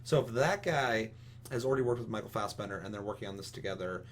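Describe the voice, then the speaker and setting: A man's voice: on the low side, expressive, fast, a little hiss or hum. A man co-hosting a casual gaming talk show, ranting with strong opinions in an emphatic, rambling, swear-heavy way. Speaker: podcast co-host.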